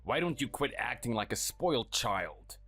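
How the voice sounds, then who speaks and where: A performer voicing a cold, contemptuous workaholic husband who talks curtly and dismissively. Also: male voice, mid-range voice, sing-song, normal speed, pushed and bright, slightly rough, soft background music, full character voice. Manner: curt, scornful, dismissive.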